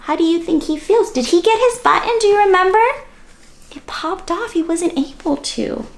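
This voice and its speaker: A woman's voice: very high-pitched, expressive, moderate pace. A woman hosting a children's read-aloud, narrating expressively with exclamations and questions to the listener.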